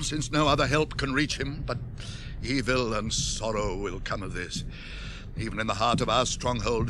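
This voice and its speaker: A man's voice: medium pitch, background noise, natural voice. An older British man playing a commanding, grave old wizard in a radio fantasy drama, declaiming with solemn theatricality. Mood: grave, troubled. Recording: 1980s radio drama with music behind.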